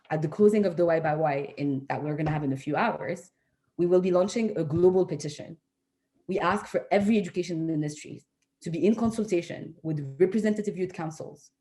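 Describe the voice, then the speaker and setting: A woman delivering a prepared advocacy speech as an education activist, speaking earnestly in measured, deliberate phrases. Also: sits low, mellow. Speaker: youth education movement co-founder, speaker.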